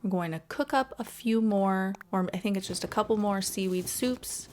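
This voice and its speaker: A woman's voice: medium pitch, moderate pace, a little mellow. A woman giving casual, chatty gameplay commentary in an informal way. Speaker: gaming video host.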